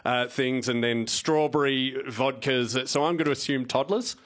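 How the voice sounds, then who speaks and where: Mid-pitched male panel comedian. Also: normal speed, very noisy. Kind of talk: joking, conversational.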